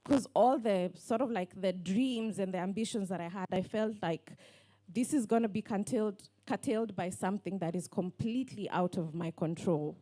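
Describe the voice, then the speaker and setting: A woman, talking on a book panel in a lively storytelling way full of fillers. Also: medium pitch, moderate pace. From Kenya. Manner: animated storytelling with many fillers. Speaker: panelist, online book lover. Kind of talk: casual panel talk.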